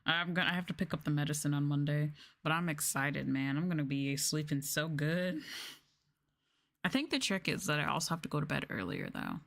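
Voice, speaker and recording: A woman's voice: sits low, lively intonation, a little fast, vocal fry, fairly bright tone. A sleepy woman chatting casually and informally as a livestreamer, with a drowsy manner and sighs. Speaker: livestreamer, VTuber. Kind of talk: sleepy casual chat on an ASMR livestream, reading and answering viewers.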